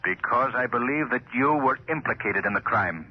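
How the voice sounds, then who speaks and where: An actor playing a commanding, ominous invisible crime avenger in a 1940s radio drama, speaking deliberately and formally. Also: male voice, mid-range voice, animated, natural voice. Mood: stern, menacing. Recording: muffled old 1940s radio recording.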